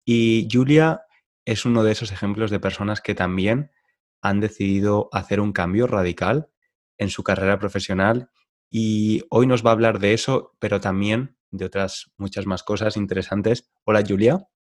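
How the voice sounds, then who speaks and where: A man hosting a podcast for learners, speaking in a clear, measured way. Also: on the low side. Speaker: podcast host and Spanish coach.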